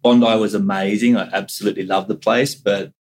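Husky, laid-back Australian man. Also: lowish voice, steady tone, a little fast. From New South Wales, Australia. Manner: laid-back, easygoing, uses casual slang. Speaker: podcast guest. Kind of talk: casual interview chat.

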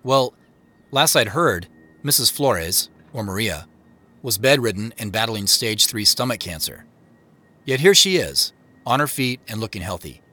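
A man's voice: on the low side, lively intonation, measured pace, pushed and bright, full character voice. An actor playing a reflective man narrating his own story in a first-person audio drama. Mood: wry, surprised. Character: Joseph, reflective male narrator returning to old home.

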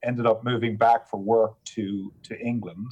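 A man's voice: fairly low pitch, flat delivery, measured pace, darkish tone, highs cut off. A man in his fifties, a guest answering interview questions in an easygoing, hesitant way with many fillers. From Ireland.

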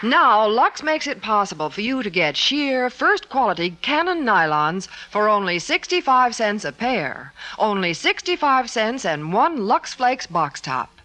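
Lively, bright American saleswoman on radio. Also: unhurried, few pauses, slight background noise. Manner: polished sales pitch. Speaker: commercial announcer. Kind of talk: 1950s radio commercial reading.

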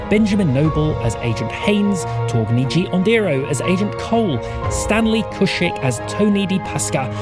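A man delivers scripted credits over loud music. His fairly high voice moves with lively intonation as he announces. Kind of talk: scripted narration.

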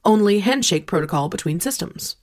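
A precise computer program voiced by a woman in an audio drama, at a medium pitch. It reports formally and methodically, with an obliging, robotic delivery. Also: lively intonation, a little fast, darkish tone, lightly acted. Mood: precise, factual. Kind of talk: scripted audio drama acting.

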